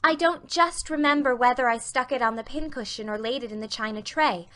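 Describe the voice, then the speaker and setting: A woman's voice: fairly high pitch, brisk, sharp tone, voice pushed up. A woman voicing an imaginative, talkative little girl in an audiobook, eager and earnest. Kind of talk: audiobook character reading.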